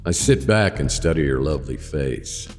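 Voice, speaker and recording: With music underneath and a long reverb, a hardboiled male private eye with a very deep voice. He narrates his case in a deadpan, sardonic way, worn down but wry, in classic radio noir style. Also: normal speed. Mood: wry, puzzled.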